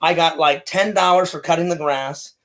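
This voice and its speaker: Man, thirties, loud, energetic, rambling, casual co-host, slightly high voice. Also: normal speed. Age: 30s. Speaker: podcast co-host. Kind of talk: casual chat.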